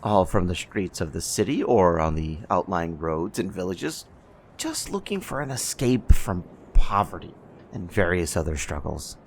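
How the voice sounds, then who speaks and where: A man acts out an obliging dealer in human blood for an ASMR audio drama. His low voice is gravelly and swings widely in pitch as he gives his folksy, businesslike patter. Faint background noise sits behind him. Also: big pitch swings, unhurried, full character voice. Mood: salesmanlike. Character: deferential, businesslike male trader in human blood volunteers. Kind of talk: ASMR audio roleplay acting.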